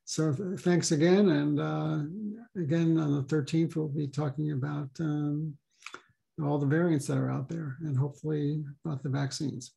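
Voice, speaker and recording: A man hosting a talk over a video call, speaking haltingly with many pauses and ums. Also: on the high side, flat delivery, creaky, dark tone, low-quality recording. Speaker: host and co-chair.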